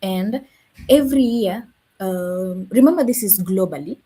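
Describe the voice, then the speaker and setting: A woman's voice: mid-range voice, unhurried, dark tone, breathy edge. A woman from Kenya, a language educator, speaking as a guest in a live online conversation and searching for words with many fillers. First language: not Swahili. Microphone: video call.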